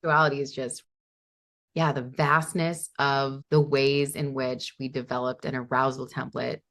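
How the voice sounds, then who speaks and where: A woman with a notably low-pitched voice, talking as a guest therapist on a podcast. She sounds earnest and enthusiastic, often restarting her phrases mid-thought. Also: level tone, normal speed, a bit bright. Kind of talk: conversational interview.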